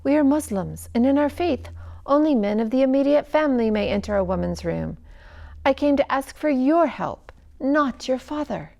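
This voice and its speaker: A woman storyteller puts on a worried, courteous husband from a storybook. He speaks formally and with pleading emphasis, the voice raised in pitch. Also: animated, moderate pace, creaky, a little mellow. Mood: insistent. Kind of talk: storybook character reading.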